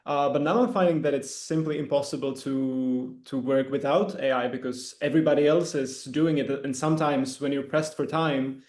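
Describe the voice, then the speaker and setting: A young man, a PR consultant answering steadily on an online panel. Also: mid-range voice. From Czech Republic. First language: Czech. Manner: steady, measured, some fillers. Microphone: video call. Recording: echoey room.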